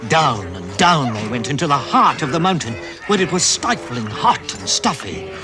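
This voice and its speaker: A man's voice: medium pitch, very animated, normal speed, over music, close to an everyday voice. A man narrating a vintage radio dramatisation in a dramatic storybook style.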